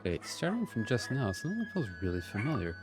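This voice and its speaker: A man's voice: medium pitch, huge pitch swings, a little fast. A man giving casual live commentary as he streams a video game playthrough. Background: game music and sounds.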